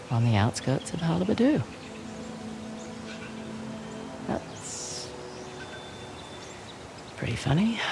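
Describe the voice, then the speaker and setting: Wry, animated Australian woman treasure hunter. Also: a bass voice, vocal fry, a little hiss or hum, heavily acted. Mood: reflective. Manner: casual, teasing, wry. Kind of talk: naturalistic video game acting.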